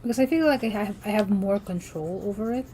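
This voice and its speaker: A woman's voice: mid-range voice, fast, warm tone, slight background noise, poor audio. A young woman from the Philippines chatting casually as she draws on a livestream, rambling with lots of fillers and restarts. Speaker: artist streaming while drawing.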